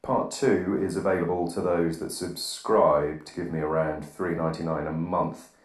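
A man hosting an absurdist comedy podcast, rambling deadpan monologue recorded on a phone. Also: deep voice, normal speed. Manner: deadpan, rambling, drops articles. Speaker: podcast host. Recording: phone recording with reverb plugin, bathroom echo.

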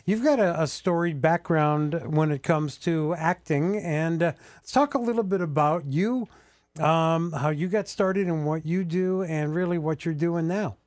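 A man's voice: on the high side, a little mellow. Joking man hosting morning radio. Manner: joking, halting with fillers and restarts. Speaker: radio show host. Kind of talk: casual morning radio chat.

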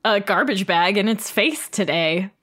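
Bright, bouncy, giggly woman co-host. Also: mid-range voice, animated, a little slow, husky. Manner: giggly, playful. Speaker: podcast co-host and producer. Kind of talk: casual comedic podcast chat.

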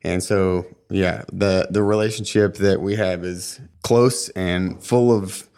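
A man with a fairly low voice co-hosts a casual podcast. He talks in a measured, hesitant way, restarting his sentences. Now and then he slips in a dry pun. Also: fairly even intonation, darkish tone, clean tone. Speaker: podcast co-host, former lodge property manager.

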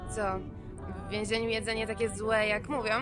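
A woman's voice: on the high side, completely flat delivery, normal speed, lightly acted. An actress in an audio drama playing a kindly woman taxi driver who talks in a friendly, casual way. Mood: chatty. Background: loud music, vehicle sound.

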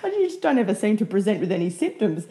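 A woman co-hosting a talk show while half losing her voice, chatty and quick to laugh. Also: medium pitch, big pitch swings, warm tone. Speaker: podcast co-host. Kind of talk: casual chat.

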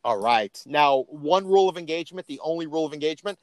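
A man's voice: fairly high pitch, animated, quick pace, no echo. A man hosting an interview podcast in a casual, chatty, informal way with emphatic delivery. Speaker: podcast host.

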